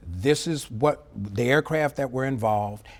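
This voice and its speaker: A middle-aged man speaking as an expert interview guest, explaining in a measured, emphatic way and often checking in with a short tag question. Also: medium pitch. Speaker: former air traffic controller, interview guest. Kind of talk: live TV news interview, explanatory commentary.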